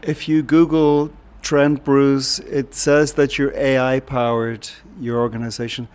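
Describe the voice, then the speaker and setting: A man's voice: mid-range voice, little pitch movement, unhurried, darkish tone, clean tone. A man interviewing a guest, putting his questions and remarks in a conversational way.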